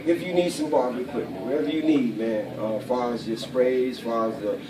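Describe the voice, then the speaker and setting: A man making a casual sales pitch, slangy and repeating himself. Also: brisk. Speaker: salesman of barber supplies. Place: barbershop, indoors. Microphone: camera a few steps away. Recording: roomy, distant mic, chatter around.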